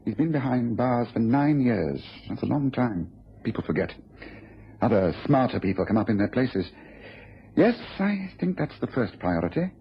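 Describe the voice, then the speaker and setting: An actor playing a shrewd, composed British detective in a mid-century radio drama, deliberate and authoritative. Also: male voice, medium pitch, very animated, husky, exaggerated voice. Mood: analytical. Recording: muffled, noisy old radio broadcast.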